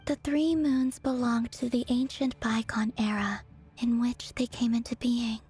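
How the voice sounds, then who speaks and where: Whispery tired game goddess, higher voice, slow and resigned, super airy. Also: female voice, steady tone, darkish tone, soft background music. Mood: weary, melancholy. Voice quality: breathy, whispery. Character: weary, fading female moon goddess, melancholy and aloof. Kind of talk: video game voice acting.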